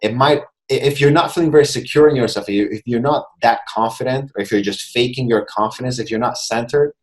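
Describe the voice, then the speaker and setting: A man's voice: mid-range voice, quick pace. A man in his twenties from Hungary, a non-native English speaker, talking earnestly as a guest coach over a video call. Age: 20s. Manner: earnest, reflective, frequent restarts. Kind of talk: casual interview chat. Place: home room, video call.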